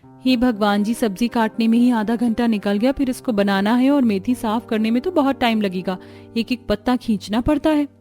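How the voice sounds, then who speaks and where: A woman voicing an overworked young newlywed daughter-in-law in a cartoon, plaintive and soft-spoken. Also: a little high, moderate pace, faint music behind, close to an everyday voice. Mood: weary complaining.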